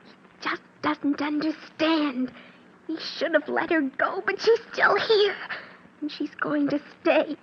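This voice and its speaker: A woman's voice: high voice, slow pace. An actress playing a young girl in a 1940s radio drama, meekly polite on the surface and hateful underneath. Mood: bitter, hateful. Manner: meek, innocent-sounding politeness. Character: outwardly sweet young girl, secretly hateful and scheming. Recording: muffled 1940s radio recording.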